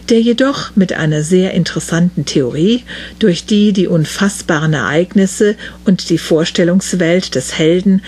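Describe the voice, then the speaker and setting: A woman reading scripted radio copy in a measured, formal delivery. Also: fairly low pitch, darkish tone, slightly rough. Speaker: radio show host reviewing films and reading sponsor spots. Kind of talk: scripted narration.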